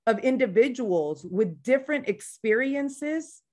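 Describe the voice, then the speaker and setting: A woman's voice: fairly high pitch, animated, measured pace, a little mellow. A woman veteran organizer with a slight Spanish-influenced accent, speaking passionately and bluntly in a casual online panel discussion.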